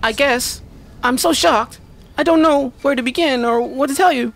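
A woman's voice: high-pitched, moderate pace. A woman acting a fretful, evasive wife in an amateur radio mystery drama. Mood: flustered, evasive. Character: fretful, evasive wife hosting a dinner party.

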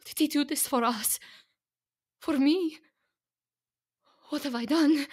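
An actress playing a fearful, distraught immigrant wife and mother in a radio play, in halting, repeated phrases. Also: sits high. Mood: frightened, distraught. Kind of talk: emotional radio drama acting.

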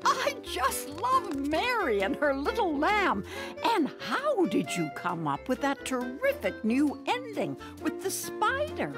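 Elderly cartoon grandma bunny, kindly, gushing, very high, slow, lively intonation. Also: female voice, over music, heavily acted. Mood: delighted. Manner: gushing, unhurried. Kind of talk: children's cartoon voice acting.

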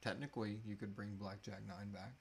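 A man in his thirties with a fairly low voice hosts an informal Q&A. He talks casually and with a joking edge, as if among friends. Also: fairly even intonation, a little fast, vocal fry, slight background noise. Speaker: host of a live Q&A, audio drama creator. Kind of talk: casual chat, answering audience questions. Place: small room at home, indoors, seated.

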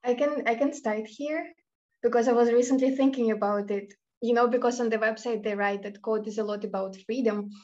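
A woman's voice: fairly high pitch, steady tone, fairly quick, a little mellow. Enthusiastic woman on a muffled call. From Russia. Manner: enthusiastic, reflective. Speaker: student panelist. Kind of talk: casual remote panel answer. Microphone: video call.

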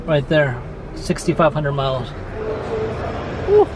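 A man in his thirties hosting a casual vlog at an outdoor car auction, talking excitedly to a handheld camera. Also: a little high, slow, background noise. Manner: excited, enthusiastic. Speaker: vlog host. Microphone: handheld camera at arm's length. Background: crowd chatter and music.

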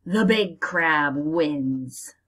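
An American woman teacher reading aloud to young children and explaining as she goes, in a deliberate, expressive manner. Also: low-pitched. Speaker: elementary school teacher reading aloud to pupils.

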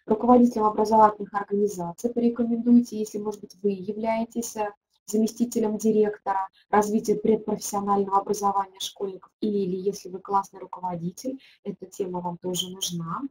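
A woman's voice: mid-range voice, level tone, fast, darkish tone, poor audio. A middle-aged woman, a teaching methodologist, leading a webinar and answering viewer questions, thinking aloud with frequent hesitations.

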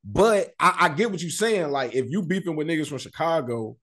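A man with a somewhat high-pitched voice discusses street news in a casual, slangy way. He swears freely and keeps checking that his listener follows him. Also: lively intonation, brisk, a bit bright. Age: middle-aged. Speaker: commentator on street and rap news. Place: indoor room, video call.

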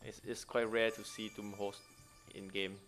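A man's voice: fairly low pitch, little pitch movement, moderate pace, a bit bright. A man giving casual gameplay commentary in short exclamatory bursts, with non-native English phrasing. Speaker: gaming YouTuber. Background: game music.

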